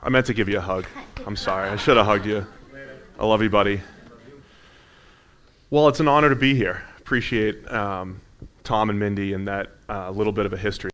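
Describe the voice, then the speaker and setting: A middle-aged American man with a loud, booming voice, telling his personal story to a congregation in an informal, conversational way. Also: a little fast. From Massachusetts, United States. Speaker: visiting church speaker.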